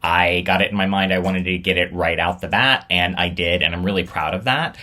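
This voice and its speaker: A man's voice: low-pitched, fairly even intonation, fairly quick, sharp tone. A man talking casually and reflectively, as the host looking back on his own storytelling show. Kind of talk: casual reflective vlog talk.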